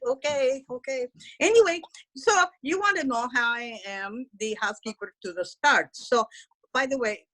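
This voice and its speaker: A woman doing a loud, gossipy housekeeper who dishes on her celebrity bosses in blunt, broken English. Her voice sits a little high for a woman, chatty and unfiltered. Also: poor audio. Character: brash middle-aged Mexican woman housekeeper, gossipy. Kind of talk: character stand-up comedy.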